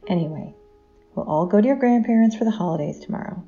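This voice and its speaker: A woman's voice: mid-range voice, expressive, quick pace, mellow, muffled recording, faint music behind, natural voice. A woman playing a caring but troubled middle-aged wife and mother in an audio drama. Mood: gently reassuring.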